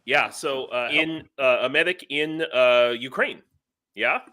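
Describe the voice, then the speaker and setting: A man's voice: medium pitch, measured pace, sharp tone, no echo. A man hosting a casual livestream interview, rambling a little and often repeating himself as he feels for his words. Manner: hesitant, rambling, repeats phrases.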